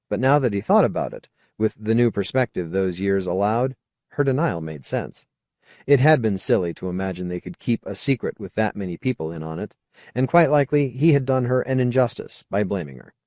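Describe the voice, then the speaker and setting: A man's voice: fairly low pitch, a little mellow, dry recording, studio quality. A man reading fiction aloud as a scripted audiobook narration.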